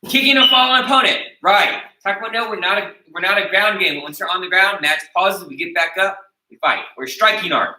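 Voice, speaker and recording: A man's voice: high voice, brisk, pushed and bright. An American man teaching a taekwondo class, explaining and checking in with his students after every point. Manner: instructive, checks in with "okay?" after each point. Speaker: taekwondo instructor. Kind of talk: teaching a martial arts class. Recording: echoey room recorded from a distance.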